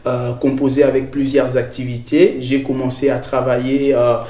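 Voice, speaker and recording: A man from the Congo, a researcher and lecturer, answering interview questions in a measured way with frequent hesitations. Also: medium pitch, monotone, dark tone. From Democratic Republic of the Congo. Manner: hesitant, many filler sounds, measured. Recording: roomy echo.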